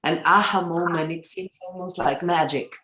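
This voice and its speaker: A woman's voice: on the low side, fairly even intonation, moderate pace, a bit bright, reverberant. A woman therapist, asking a question over a video call and searching for her words.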